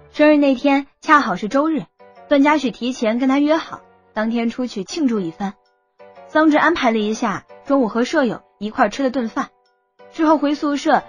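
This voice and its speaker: A woman's voice: sits high, breathy edge, lo-fi sound. A woman reading a novel aloud as an audiobook narrator in scripted style. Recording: music bed under the voice.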